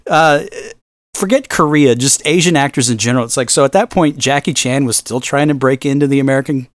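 A man's voice: medium pitch, brisk, no room sound. A man co-hosting a film podcast in a casual, rambling, exasperated way, swearing freely. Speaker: podcast host.

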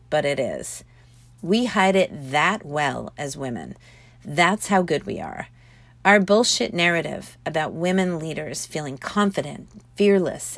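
Woman author reading her motivational memoir, lowish voice, earnest and emphatic, really dry recording. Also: dry recording. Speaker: author reading own book. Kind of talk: audiobook narration of a motivational memoir.

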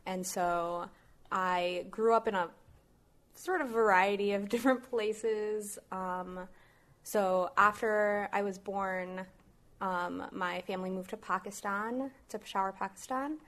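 A woman's voice: medium pitch, fairly even intonation, takes it slowly, fairly bright tone. A young adult woman from Malaysia and the US, a guest chatting casually on a podcast with fillers and light laughs. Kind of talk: casual chat.

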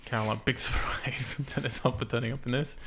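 A man's voice: mid-range voice, fairly even intonation, a little fast, fairly bright tone, a little hiss or hum. A man hosting a casual film podcast, explaining things in an informal, sweary way. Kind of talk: casual film discussion from notes.

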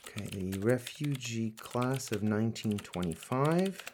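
Guy playing a wry businesslike govt official in a radio play, normal pitched male voice. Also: lively intonation, a little slow, a little mellow, a little hiss or hum, close to an everyday voice.

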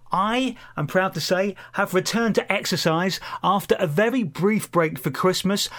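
A British man hosts a music radio show in a slightly higher-pitched voice. He delivers chatty DJ links and small everyday anecdotes with a conversational, lightly self-deprecating touch. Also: fairly bright tone. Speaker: radio presenter. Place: radio studio.

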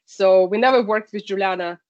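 A woman's voice: medium pitch, a little fast. A woman from Russia, a podcast guest introducing her product work in casual chat with frequent fillers. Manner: speaks with frequent fillers, slightly non-native grammar. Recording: dull lo-fi call audio.

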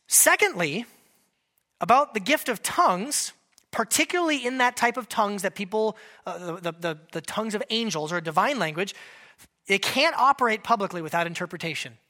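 Bright, joking conversational preacher. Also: medium pitch, expressive, a little fast. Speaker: pastor. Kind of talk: sermon.

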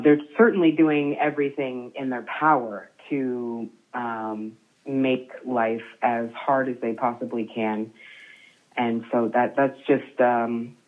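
A woman in her thirties on the phone, telling her family's story in a halting way, with many fillers and restarts. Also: extremely low pitch. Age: 30s. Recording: tinny phone line.

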